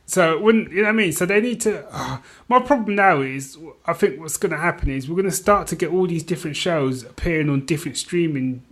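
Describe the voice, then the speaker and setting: A man with a fairly high voice talks casually as a podcast co-host. He retells things in an animated, slangy way, punctuating his chat with little checks that his listener follows. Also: fairly quick, hardly any pauses. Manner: animated retelling, slangy, peppered with "you know what I mean". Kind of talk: casual chat about TV shows.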